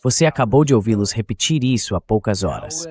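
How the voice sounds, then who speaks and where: A man's voice: on the low side, expressive, brisk, darkish tone. A man hosting a commentary show, speaking in a translated voice-over political commentary style.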